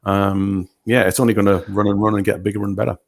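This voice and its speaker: Deep, quick-talking man losing his voice. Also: level tone. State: losing his voice, clears throat. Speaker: podcast co-host. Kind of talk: conversational commentary.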